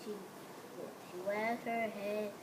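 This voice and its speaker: A female reader narrating a fairy tale aloud in a halting way, stumbling over and repeating words. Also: medium pitch, fairly even intonation, noisy background. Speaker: storybook reader.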